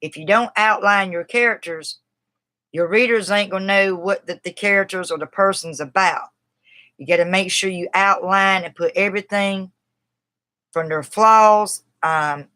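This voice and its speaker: A woman's voice: on the low side, a bit bright. A woman from the Southern US with a Southern accent, talking casually on a livestream about a writing book, rambling and greeting viewers by name. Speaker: writer discussing a book on a livestream. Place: outdoors.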